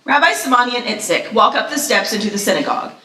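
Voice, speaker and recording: A woman narrates a screenplay live, reading the action lines vividly. Her voice is bright and on the high side. The sound is a little distant, as from a mic across the room. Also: sing-song, fast, reverberant.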